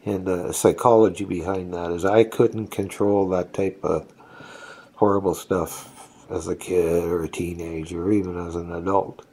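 A man's voice: low-pitched, fairly even intonation, normal speed, dark tone, husky. A man in his sixties whose first language is Estonian, telling his life story in a rambling, reflective way, recorded at home on a close-held phone. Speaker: vlogger. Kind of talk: personal storytelling. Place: indoors, seated on a couch at home. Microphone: phone camera held close.

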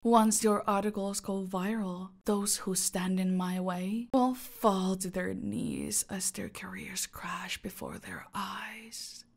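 A menacing female cartel boss, played by a woman in an audio drama, smug and calculating. She speaks very slowly, with heavy vocal fry. Her haughty, seductive delivery often sinks into a breathy near-whisper. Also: medium pitch, expressive, full character voice. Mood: commanding, scheming. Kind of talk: dramatic audio roleplay monologue addressed to a captive.

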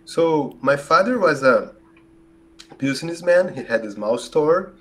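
A man in his forties with a Brazilian Portuguese accent, answering interview questions in a hesitant way full of fillers and restarts, on a boom-arm studio mic in a home office. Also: lively intonation, unhurried. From southern Brazil. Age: 40s. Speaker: guest. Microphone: large studio mic on a boom arm.

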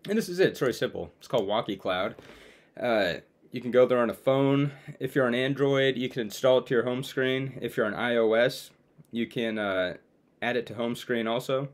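A man's voice: mid-range voice, moderate pace. A young adult man giving a casual, conversational demo to viewers as a video creator. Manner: informal, conversational. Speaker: video creator presenting his own web app. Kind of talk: casual screen-share demo, talking to viewers. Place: small room at home, indoors.

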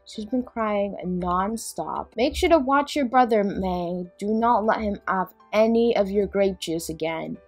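A woman's voice: medium pitch, unhurried, hardly any pauses, soft background music. A woman hosting a voiced game roleplay, chatty and often scolding as a mother. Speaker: roleplay host playing a mother.

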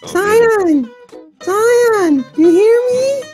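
A bubbly little anime girl with a very high, squeaky voice. She is simple and cheerful, drawing out her words in a swooping comic-dub delivery, over faint music. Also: lively intonation, a little mellow, exaggerated voice. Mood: excited. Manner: drawn-out, simple babyish phrasing.

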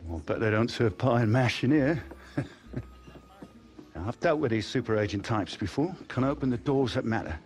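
A man's voice: mid-range voice, animated, normal speed, a little mellow, close to an everyday voice. An actor playing a supportive, down-to-earth middle-aged British father in casual dialogue. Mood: encouraging. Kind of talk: casual dialogue in game cutscene.

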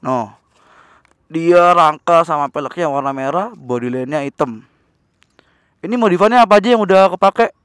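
A young man chatting excitedly in a casual riding vlog, recorded on a helmet camera while on a motorbike in the city. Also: on the high side, moderate pace, long pauses, smooth, no room sound, clean studio sound. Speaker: motovlogger. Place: on a motorbike on city streets, outdoors. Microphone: helmet-mounted action camera. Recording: loud wind and traffic noise.

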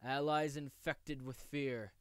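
A man voicing a polite, aloof young swordsman from a manga, with formal, measured, precise speech. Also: mid-range voice, steady tone, clear, resonant tone, no room sound, studio quality, close to an everyday voice. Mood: cool, composed. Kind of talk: amateur voice acting showcase of manga lines.

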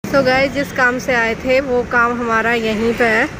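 High-voiced chatty woman vlogging. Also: fairly even intonation, unhurried. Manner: chatty, teasing, addresses viewers as guys. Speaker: family vlogger. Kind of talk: casual vlog chat to camera. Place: outdoors in a busy city and a shopping mall. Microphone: phone camera. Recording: loud crowd and street noise. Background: crowd and traffic noise.

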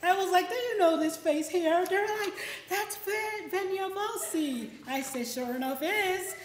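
A middle-aged woman speaks at a poetry event in a notably high voice. Her delivery is emphatic and declamatory, like someone reading to be heard. The microphone picks up a clearly echoey room. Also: a little slow, background noise. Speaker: poetry event host and poet. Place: indoor library event room. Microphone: microphone on a stand. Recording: roomy, echoey event space.

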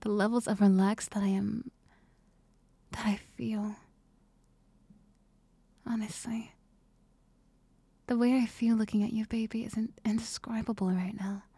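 Breathy, whispering young girlfriend played by a woman. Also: on the high side, fairly even intonation, dark tone, dry recording. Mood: adoring, intimate. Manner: slow, tender, intimate. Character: affectionate, doting young girlfriend. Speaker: ASMR performer. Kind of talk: ASMR girlfriend roleplay, soft whispering. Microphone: close-up ASMR microphone.

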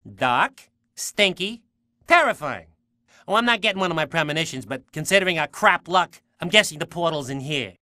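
A man voicing a small, cranky, wisecracking undead sidekick creature in a video game, whiny and excitable. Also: a little high, normal speed, no room sound, studio quality. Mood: nervous, grumbling. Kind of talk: cartoonish video game acting.

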